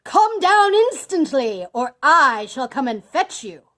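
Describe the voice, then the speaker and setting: Storybook villain, played by a woman: murderous husband, loud, slow imperious orders, highly animated delivery. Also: lightly acted. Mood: furious. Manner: loud, imperious, drawn-out commands. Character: menacing, wrathful husband and wife-killer, an adult man. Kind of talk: dramatised fairy-tale storybook reading.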